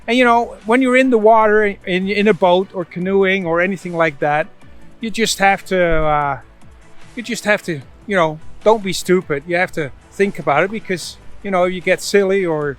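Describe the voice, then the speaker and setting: A man's voice: high-pitched, moderate pace, faint music behind. A man hosting a casual devotional, telling Bible stories in a folksy, conversational way peppered with "you know". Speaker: host, Bible storyteller.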